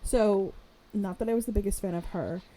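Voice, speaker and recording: A woman in her twenties co-hosting a podcast, chatting in a rambling, animated way, her voice raspy today. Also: mid-range voice, moderate pace, rough recording. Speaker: podcast co-host. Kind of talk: casual chat.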